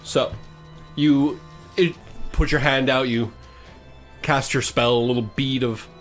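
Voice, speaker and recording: A man with a medium-pitched voice serves as game master for a tabletop fantasy game. He describes scenes in detail, stopping to correct himself and start again. Faint music plays behind him. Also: fairly even intonation, takes it slowly. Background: background music.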